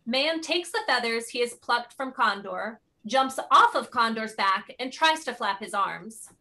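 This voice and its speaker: Bright, high-voiced woman narrator. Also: lively intonation, a little slow. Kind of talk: reading stage directions, scripted narration.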